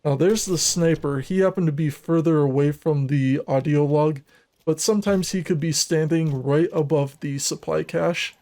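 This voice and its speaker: A man narrating a scripted video game walkthrough in a measured, methodical, instructional manner. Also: fairly high pitch, dark tone.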